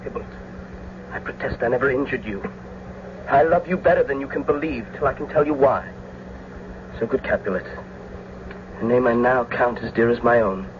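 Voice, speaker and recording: An actor playing an earnest, passionate young lover in a radio Shakespeare drama, with heartfelt declamatory delivery. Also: male voice, medium pitch, normal speed, long pauses, natural voice. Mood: tender, earnest. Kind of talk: old-time radio Shakespeare acting. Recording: noisy, dull old radio recording.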